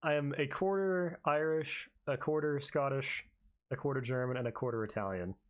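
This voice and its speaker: A man's voice: mid-range voice, normal speed. A man casually commentating his gameplay, cursing and rambling with self-mocking jokes. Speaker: let's player.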